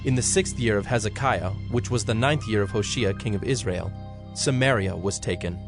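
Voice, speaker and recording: A man's voice: lowish voice, normal speed. Male scripture narrator over music.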